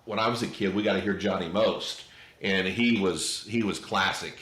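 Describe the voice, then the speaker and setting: A middle-aged American man chats casually as an interviewer. His voice is fairly low, and the sound is roomy and echoey. He speaks haltingly, often repeating words as he builds a thought. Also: a bit bright. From Indiana, US. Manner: halting, repetitive phrasing. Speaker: podcast host, interviewer. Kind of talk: casual chat.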